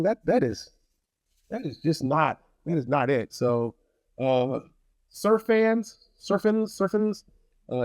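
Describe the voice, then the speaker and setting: A man's voice: on the high side, darkish tone, no echo. A man talking casually to viewers in a rambling, blunt product review, often repeating phrases for emphasis. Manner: opinionated, blunt.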